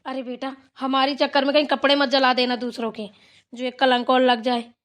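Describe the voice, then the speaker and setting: A woman acts out a village matriarch in a family cartoon, an older mother-in-law with a high voice. She is chatty and bossy, rambling on homely matters as she gives instructions. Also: little pitch movement, fairly quick, natural voice. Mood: chatty, content. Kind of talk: amateur Hindi family cartoon dialogue.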